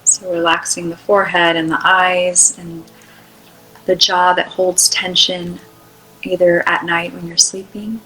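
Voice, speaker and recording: A woman leading an unscripted guided meditation in a soothing, unhurried way, with long pauses between short phrases. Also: on the low side, level tone, sharp tone, rough recording.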